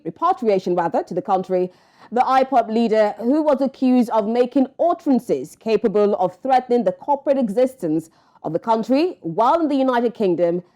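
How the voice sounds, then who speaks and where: A woman's voice: fairly high pitch, mellow. A woman with a Nigerian accent presenting news and interviewing guests in a formal broadcast style. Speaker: news anchor and interview host.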